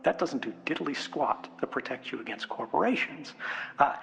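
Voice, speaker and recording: American man speaking publicly, lively and creaky. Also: on the high side, normal speed, a little hiss or hum, rough recording. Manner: conversational and emphatic, rhetorical questions and check-in tags. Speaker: conference speaker. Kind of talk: public speech, informal and unscripted. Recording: speech with music laid underneath. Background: music.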